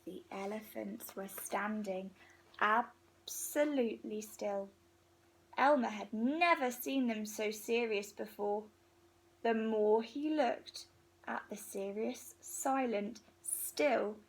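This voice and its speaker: Female storybook reader for young children: slow, animated delivery with a breathy edge and deliberate pauses. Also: mid-range voice, poor audio. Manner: unhurried, animated, pauses between phrases for young listeners. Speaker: teacher reading a picture book to young children. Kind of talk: expressive children's storybook read-aloud.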